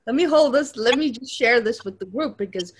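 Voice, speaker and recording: Animated woman telling a story. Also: on the high side, fairly quick, husky. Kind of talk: casual group chat.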